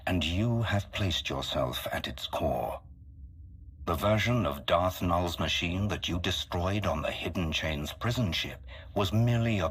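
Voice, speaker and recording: A man voicing a solemn, calculating mastermind in a video game, speaking formally and deliberately with a husky voice. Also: low-pitched, fairly bright tone, heavily acted. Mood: solemn, controlled. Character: solemn, calculating male mastermind with grand galactic ambitions. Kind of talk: dramatic video game acting.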